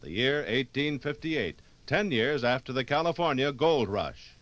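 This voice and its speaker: A man reading scripted 1950s radio narration like a storyteller, with dramatic pauses. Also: medium pitch, lively intonation, fairly bright tone.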